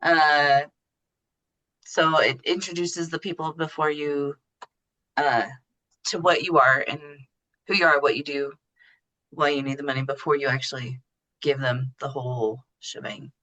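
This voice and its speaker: A woman's voice: sits low, fairly even intonation, measured pace, pauses often, sharp tone, rough recording. A woman explaining things as a podcast guest in casual, informal interview talk. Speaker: podcast guest explaining her know-how.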